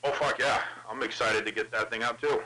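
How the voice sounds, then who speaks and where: A man's voice: mid-range voice, fairly even intonation, brisk. A man chatting casually over CB radio with crude, profane banter. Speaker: CB radio operator. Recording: noisy CB radio transmission with clicks.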